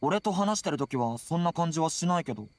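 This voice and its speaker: A man's voice: a little high, lively intonation, fast, close to an everyday voice. A man voicing a supportive young dog-eared general in a game, straightforward and encouraging.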